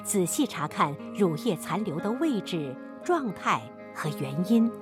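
Animated female narrator over music. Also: medium pitch, measured pace. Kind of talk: dramatic scripted narration for radio drama.

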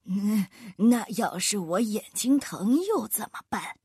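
A woman voicing a haughty, irritable male astrologer in a children's story, with a breathy rasp and a pompous, sneering manner. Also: medium pitch, expressive, slow pace, warm tone, no echo, studio quality, full character voice. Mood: challenging. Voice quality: breathy, raspy.

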